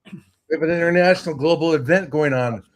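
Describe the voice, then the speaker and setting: A man's voice: on the high side, quick pace. An older man from Texas on a casual video call, talking in a joking, playfully boastful way. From Texas, USA. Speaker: guest.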